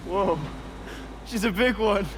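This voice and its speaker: An actor playing a confident, curious young son out at sea in a video game cutscene, calling out casually. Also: male voice, high voice, slow pace, background noise. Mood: excited, confident.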